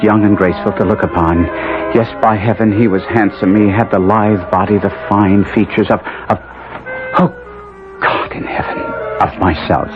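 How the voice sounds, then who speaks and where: A man playing a passionate young nobleman in a gothic 1970s radio drama, narrating his tale in halting, archaic, intense speech. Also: lowish voice, lightly acted. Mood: haunted, intense. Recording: muffled 1970s radio recording, music behind.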